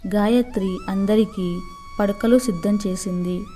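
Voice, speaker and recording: A woman's voice: medium pitch, unhurried, dark tone, soft background music. A woman reading a scripted moral story for children as a storyteller.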